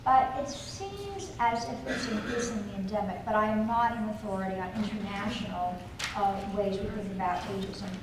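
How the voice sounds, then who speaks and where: A woman's voice: medium pitch, normal speed. An older woman giving a keynote lecture and answering audience questions, thoughtful and hesitant with many fillers. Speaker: keynote speaker. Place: large conference hall. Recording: echoey hall, voice from a distance, noisy.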